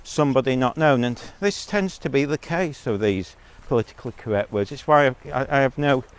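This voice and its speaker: A man's voice: mid-range voice, a little fast. A man giving a blunt, rambling monologue as a vlogger, talking while out on a walk.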